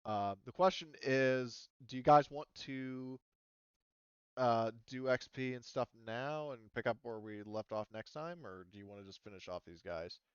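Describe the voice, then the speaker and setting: Guy game mastering online, casual, smooth clean voice, dry slightly muffled mic. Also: medium pitch, a little slow, no room sound. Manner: informal, swears. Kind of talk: casual tabletop game moderating over online session.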